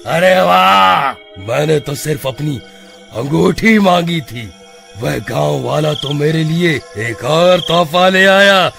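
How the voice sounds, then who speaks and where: A man's voice: a little high, expressive, slow, gravelly, a bit bright, faint music behind, full character voice. A man voicing a gloating skeleton ghost in a horror cartoon, taunting with menace and mock tenderness. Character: gloating male skeleton ghost living in a well. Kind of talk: Hindi horror cartoon acting.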